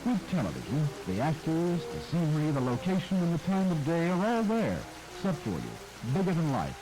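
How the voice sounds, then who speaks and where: A man's voice: on the high side, huge pitch swings, a little fast, over music. A man hosting with scripted narration addressed to the listener, dramatic and emphatic in phrasing, recorded with rain noise over it. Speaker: host of radio nostalgia documentary. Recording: noisy archive audio under rain.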